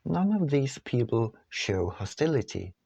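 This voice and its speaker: A man reading his own book aloud as scripted audiobook narration, in measured, deliberate phrases. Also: medium pitch, big pitch swings, gravelly, mellow, slightly rough, dry recording, highs cut off.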